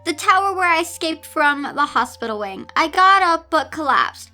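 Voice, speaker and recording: Woman as a miserable young girl, high bright voice, sarcastic, self-pitying, amateur story reading, background music. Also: lively intonation, moderate pace, full character voice. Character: bitter, depressed young girl narrating her own misery.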